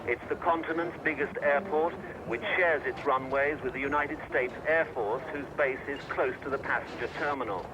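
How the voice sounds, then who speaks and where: A man's voice: mid-range voice, moderate pace, hardly any pauses. A man narrating a scripted television news report as a correspondent. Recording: dull, noisy 1980s news track.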